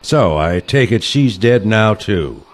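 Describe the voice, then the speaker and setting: A skeptical old-school assassin from a comic audio play, acted by a man. He speaks slowly with wide-swinging intonation, delivering wry, deadpan sarcasm to his former pupil. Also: fairly low pitch.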